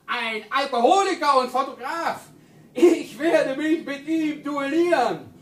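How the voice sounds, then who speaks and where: A jealous rival sneers and threatens in a high, taunting voice, heard from a distance in an echoey space. He spits out scorn for the stranger. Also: lively intonation, takes it slowly, full character voice. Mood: mocking. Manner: mocking, threatening. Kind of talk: 1980s radio play acting. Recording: echoey, from off-mic.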